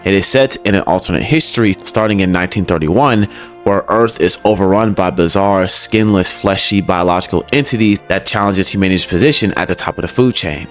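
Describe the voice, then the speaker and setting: A man hosting a scripted explainer, talking directly and conversationally to the viewer. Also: lowish voice. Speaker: video essay host. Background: background music.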